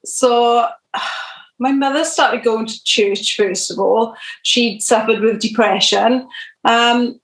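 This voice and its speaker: A woman's voice: on the high side, fairly even intonation, takes it slowly. A Welsh woman from South Wales, a podcast guest telling her faith story in a rambling way, full of ums. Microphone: video call. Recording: echoey room over video call.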